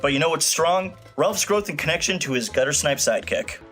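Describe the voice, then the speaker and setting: A man's voice: a little high, lively intonation, fairly quick, a bit bright, faint music behind. A man delivering a scripted comedic film review in a snarky, blunt and emphatic way. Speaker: video reviewer.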